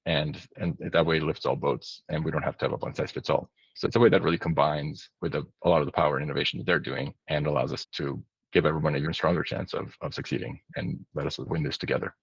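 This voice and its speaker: A man's voice: extremely low pitch, fairly even intonation, fast, hardly any pauses, slightly rough, no room sound. A man in his thirties from Bosnia, giving a long earnest interview answer over a video call from a home office, restarting his sentences as he explains. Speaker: startup founder, podcast guest. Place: indoor room, home office. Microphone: video call, webcam framing. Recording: dull lo-fi video call audio.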